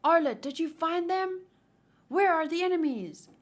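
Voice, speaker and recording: A woman reading manga aloud as a brusque, impatient male soldier, clipped and forceful. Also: very high-pitched, animated, measured pace, pitched above the performer's own voice.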